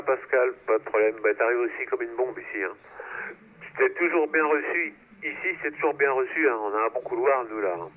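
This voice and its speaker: A man chatting casually over amateur radio, repeating himself for emphasis, heard through a transceiver. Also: medium pitch. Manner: emphatic repetition, frequent tag words. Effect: two-way radio transmission. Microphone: radio transceiver, heard through receiver. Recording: tinny, narrow-band two-way radio.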